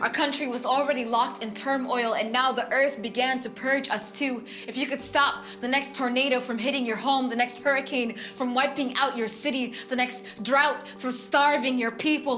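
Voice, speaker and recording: Bright high woman performing poetry. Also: fairly even intonation, moderate pace, few pauses, faint music behind. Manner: rhythmic, emphatic delivery. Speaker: poet. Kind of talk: spoken word poetry performance.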